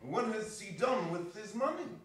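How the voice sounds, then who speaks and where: A man's voice: high voice, very animated, a little slow, fairly bright tone, pitched above the performer's own voice, heavily acted. Man as greedy red-faced gent, exaggerated, jovial, swooping, distant echoey stage. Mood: flippant. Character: gossipy red-faced gentleman, flippant and greedy. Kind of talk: theatrical dramatic reading. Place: theatre hall stage reading. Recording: echoey hall, distant mic, some noise.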